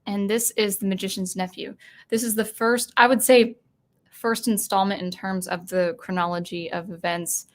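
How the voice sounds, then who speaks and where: Woman chatting on her stream, mid pitch, loose and unscripted, lots of ums. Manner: off-the-cuff, rambling with fillers and restarts. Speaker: livestream host. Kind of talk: casual livestream chat.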